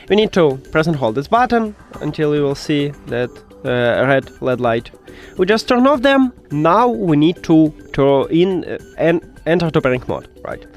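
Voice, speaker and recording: A man who speaks English as a second language, hosting a step-by-step tech tutorial in a halting, instructional way. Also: on the high side. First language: not English.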